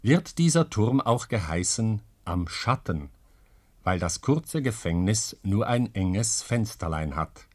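A man's voice: on the low side, big pitch swings, full character voice. A man playing the solemn, formal narrator of an old chronicle comedy in a 1950s radio drama. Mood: solemn, measured. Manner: measured, formal.

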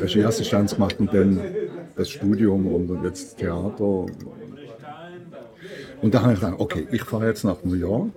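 An older man with a Swiss German accent, talking casually and telling personal stories. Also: fairly low pitch. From Switzerland. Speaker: radio maker, interviewer.

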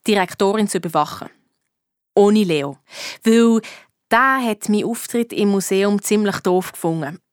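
A woman's voice: medium pitch, no echo, clean studio sound, lightly acted. A female performer with a Swiss German accent playing a young girl detective who tells her case in colloquial first-person narration. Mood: determined, secretive. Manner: colloquial storytelling. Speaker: narrator of a detective radio play.

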